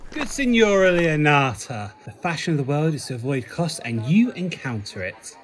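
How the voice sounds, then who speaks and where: A man's voice: on the high side, sing-song, normal speed. A man playing a genial, courtly prince with a gracious, jovial manner in a Shakespearean radio play.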